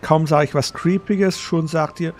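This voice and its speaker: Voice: medium pitch, steady tone, moderate pace, a little mellow. A game streamer chatting casually and commenting on gameplay in a playful, wry way.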